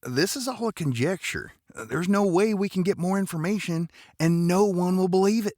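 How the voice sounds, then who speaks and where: A man playing a protective, smitten, folksy romantic lead in an audio drama, earnest and halting, with a gravelly voice. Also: high-pitched, darkish tone, no room sound, studio quality, natural voice. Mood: conspiring.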